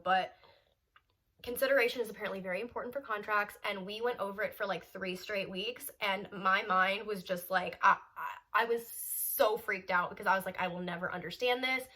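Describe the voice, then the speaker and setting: Young woman in her 20s, stuffy and congested, chatty vlogger with a bright, crisp tone. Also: medium pitch, steady tone, fairly quick. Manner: chatty and upbeat, with small laughs and fillers. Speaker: law student vlogger. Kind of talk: casual vlog update.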